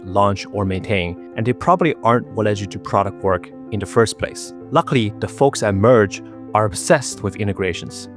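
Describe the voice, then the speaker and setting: A man with a fairly low voice hosts a tech podcast. He speaks as a presenter and interviewer, with faint music in the recording. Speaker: podcast host. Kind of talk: casual interview talk and scripted sponsor read.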